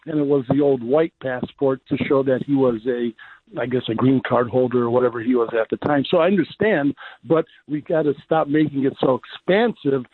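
A man in his sixties calling in to a radio show, his voice raspy, arguing his point insistently. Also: medium pitch, no room sound. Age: 60s. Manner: argumentative, insistent. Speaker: caller. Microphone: telephone. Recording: tinny phone line.

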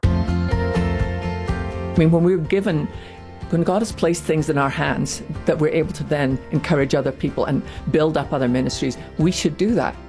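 A woman shares a personal testimony about her faith. She speaks gently and thoughtfully, pausing as she remembers. Faint music plays in the background. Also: low voice. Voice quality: gentle, reflective.

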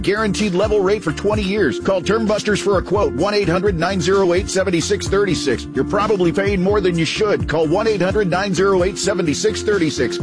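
High voiced guy reading an insurance ad, pushy and salesy, music underneath. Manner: salesy, emphatic. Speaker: commercial announcer. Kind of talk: scripted ad read. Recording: ad with music bed.

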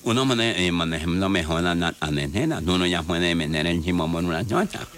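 An excitable, tongue-tied comic fellow, low in register but swooping wildly up and down. He stammers and babbles garbled syllables like a radio comedy character, with some noise behind him. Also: brisk. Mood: babbling, eager.

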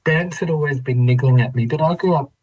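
An Australian man in his fifties from Melbourne's western suburbs, telling his own story in a reflective, thoughtful, halting way as an interview guest. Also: mid-range voice, darkish tone, low-quality recording. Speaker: interview guest, trained dancer. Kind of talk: reflective personal storytelling in conversation.

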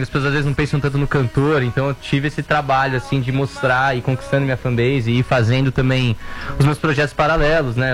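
A man from Brazil, a singer guest, talking casually in a relaxed, rambling way with many fillers. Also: medium pitch. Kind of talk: casual interview chat.

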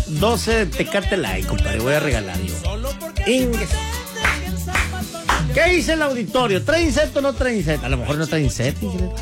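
Male radio host, northern Mexican accent. High, very animated delivery, playful slang, repeated calls to listeners. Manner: slangy, playful, repetitive street-style patter. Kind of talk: casual live radio banter with listeners. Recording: music playing behind the voice.

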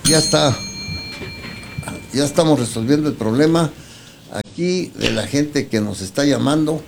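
Man, Mexican accent, radio host, gravelly, rambling chat, faint background hiss. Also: a little high, lively intonation. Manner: rambling, repeats himself, fills pauses. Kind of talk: casual radio talk.

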